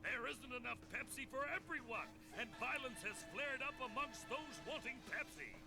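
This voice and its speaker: A man narrating a video game cutscene in a grave, scripted tone, with a gravelly edge. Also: very high-pitched, moderate pace. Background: game music.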